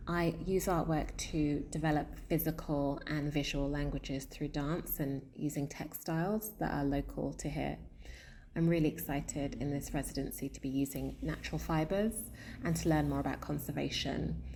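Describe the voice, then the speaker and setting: Earnest woman, low-pitched, artist statement, hesitant pauses. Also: darkish tone, slight background noise. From South London. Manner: thoughtful and earnest, pausing often with fillers. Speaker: comics artist. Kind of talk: personal artist statement to camera.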